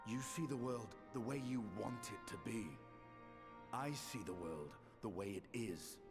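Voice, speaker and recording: A man voicing a reserved, brooding male warrior in a fantasy video game, speaking in a measured, dry, formal manner. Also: medium pitch, over music, close to an everyday voice. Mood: solemn, candid.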